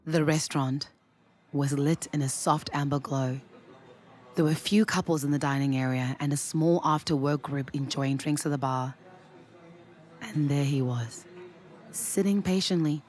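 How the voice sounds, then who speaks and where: A woman's voice: deep voice, moderate pace, darkish tone, close to an everyday voice. An actress playing a young single woman, anxious and wry about romance, in a naturalistic audio drama. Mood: reflective narration. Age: young adult. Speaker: lead character and narrator. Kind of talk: naturalistic audio drama dialogue and first-person narration.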